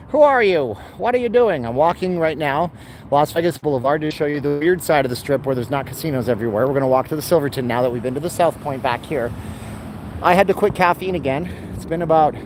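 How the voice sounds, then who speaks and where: A man chatting casually as he walks and live streams on his phone, rambling and answering chat comments, with a dry throat he keeps clearing. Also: a little high, darkish tone. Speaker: vlogger live streaming a city walk. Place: outdoors by a busy boulevard. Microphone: handheld phone. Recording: outdoor phone recording with traffic noise. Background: passing cars and street traffic.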